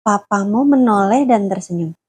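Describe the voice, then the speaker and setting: A woman storyteller narrating a children's bedtime-style tale gently, pausing to explain words to a child listener. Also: medium pitch, animated, breathy edge.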